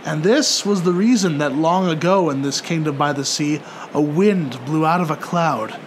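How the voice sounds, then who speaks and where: A man narrating poetry in a theatrical, solemn and measured dramatic recitation. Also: on the high side, background noise. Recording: loud music under the voice. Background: music.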